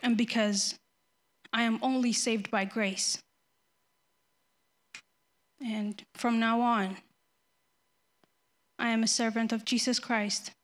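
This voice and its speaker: A young woman from Ethiopia giving a nervous, halting personal faith testimony before her baptism, often restarting her sentences. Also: on the high side, steady tone. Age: young adult.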